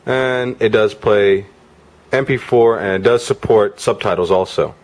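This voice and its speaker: A man's voice: fairly low pitch, measured pace, slight background noise. A man giving a casual hands-on gadget demo in an informal, off-the-cuff way. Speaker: product reviewer. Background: music from demo videos on a player.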